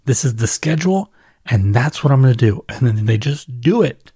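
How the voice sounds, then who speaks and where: A man speaks by himself in a very dry recording with little room sound. He has a mid-range voice and talks off the cuff like a podcaster. His delivery is conversational and thoughtful, using pauses and repeated phrases for emphasis. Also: mid-range voice, expressive, dark tone, breathy edge, dry recording. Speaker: podcast host and newsletter writer. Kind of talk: casual reflective solo monologue, off the cuff.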